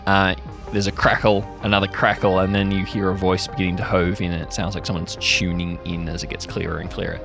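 A man's voice: deep voice, level tone, a little fast, gravelly, hardly any pauses, over music. A man narrating an improvised audio drama in a casual, descriptive way, making it up as he goes. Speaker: game-master style narrator.

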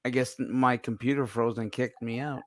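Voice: medium pitch, steady tone, normal speed, dry recording, studio quality. A co-host chatting casually and informally. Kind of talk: casual chat.

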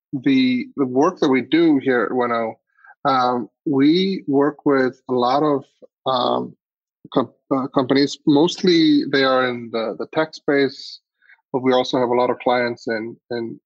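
A man's voice: mid-range voice, no echo. Hesitant Icelandic man on dull, dry audio. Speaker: podcast guest, agency CEO. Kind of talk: interview answers.

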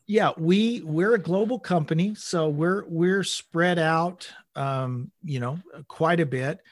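A middle-aged man talking conversationally as an interview guest, in halting bursts with repeated words. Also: a little high, no room sound, studio quality. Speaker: interview guest, manager at a global company.

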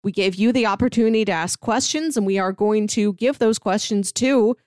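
A woman's voice: medium pitch, fairly quick, a little mellow, no echo. Woman chatting casually, close dry sound. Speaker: podcast co-host. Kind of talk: casual review chat.

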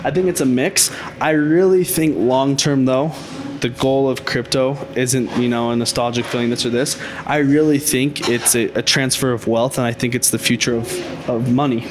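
A man speaking as a guest on a podcast, explaining his crypto consulting business in a confident, pitching way, often saying "I think". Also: fairly even intonation, normal speed, hardly any pauses. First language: Haitian Creole speaker. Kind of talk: casual podcast interview.

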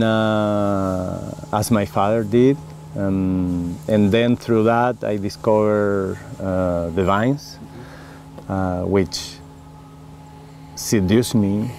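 Slow, gravelly Chilean man, halting. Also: lowish voice, darkish tone, a little hiss or hum. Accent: Chilean Spanish. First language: Spanish. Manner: halting, many fillers and restarts, earnest. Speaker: winemaker interviewee. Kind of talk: oral history interview.

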